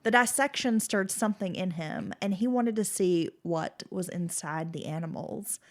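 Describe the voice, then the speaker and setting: A woman's voice: lowish voice, moderate pace. A woman co-hosting a true-crime podcast, sounding nasal and congested with a cold. She moves between reading case details and easy, laughing banter. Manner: reads case details, then chats and laughs. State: stuffy nose from a cold. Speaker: podcast co-host.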